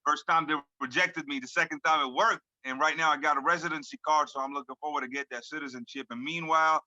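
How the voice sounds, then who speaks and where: A man's voice: fairly high pitch, steady tone, quick pace, pushed and bright, dry recording. A man in his thirties, a hip hop artist as guest, talking in a laid-back slangy way full of fillers over a video call. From born in France, grew up in Lebanon. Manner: laid-back slangy talk, many "you know what I'm saying" fillers. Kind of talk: casual video call interview. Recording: dull lo-fi video call audio.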